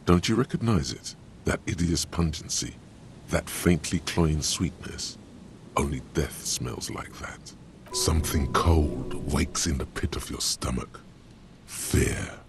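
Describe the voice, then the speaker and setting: An actor voicing a grave, world-weary inner voice that narrates a detective's thoughts in slow, literary, ominous phrases. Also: male voice, background noise, full character voice. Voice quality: deep, gravelly. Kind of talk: scripted dramatic game narration.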